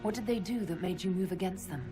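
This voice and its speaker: An actress playing a steadfast heroic leader in a video game, questioning in a serious, direct way. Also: female voice, medium pitch, fast talker, over music, close to an everyday voice. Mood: probing. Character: female leader of an inquisition, probing, steadfast hero. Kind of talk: dramatic video game dialogue.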